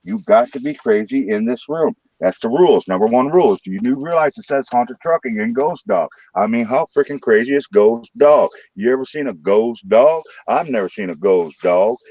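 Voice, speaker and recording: A man with a St. Louis accent hosting a late-night live stream from his room, joking loudly and swearing freely. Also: medium pitch, expressive, normal speed, hardly any pauses, no echo, lo-fi sound. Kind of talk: casual live stream chat.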